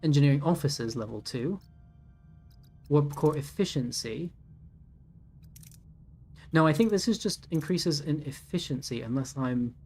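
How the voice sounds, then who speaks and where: A man's voice: on the high side, darkish tone, a little hiss or hum. A man in his thirties giving casual let's-play commentary, thinking aloud with many ums and self-corrections. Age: 30s. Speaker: gaming YouTuber. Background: faint game music.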